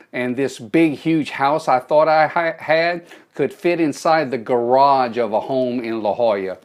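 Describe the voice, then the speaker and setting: Folksy Cajun man streaming live. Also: medium pitch. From South Louisiana. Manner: folksy, greets viewers by name, jokes. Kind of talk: live motivational talk to viewers. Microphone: phone.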